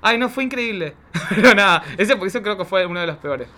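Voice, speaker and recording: A man with an Argentine accent in casual chat, telling stories in an animated, campy, gossipy way. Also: high voice, a little fast. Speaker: drag queen podcast guest.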